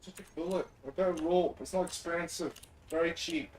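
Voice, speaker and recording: A man's voice: on the high side, flat delivery, fairly quick, recorded from a distance, a little hiss or hum. A man joining a friend's game stream, rambling through darkly funny stories with lots of "like" and filler. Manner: rambling storyteller, dark humor, lots of "like". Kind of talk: casual chat during gameplay. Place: shared room at a home gaming gathering. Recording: roomy, off-mic voice.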